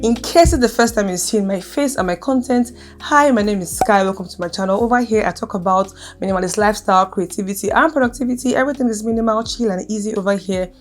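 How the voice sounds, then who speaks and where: A woman's voice: mid-range voice, fast, few pauses, faint music behind. A young adult woman vlogging casually to camera, earnest and emphatic, who speaks with a stutter and repeats her key phrases.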